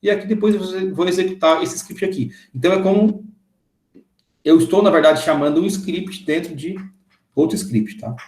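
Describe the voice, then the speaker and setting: Man in his thirties, a programming teacher with a slightly high voice, explains step by step, echoey room sound. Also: moderate pace, a little mellow. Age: 30s. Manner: explains step by step, often checking in with the class. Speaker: programming instructor. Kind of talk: screen-recorded coding lesson.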